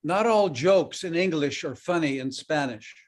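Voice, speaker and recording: A man with a high-pitched voice talks over a video call. He speaks in short, measured phrases with a light joking touch, stopping often so his words can be translated. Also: expressive. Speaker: guest speaker. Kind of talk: casual talk through an interpreter.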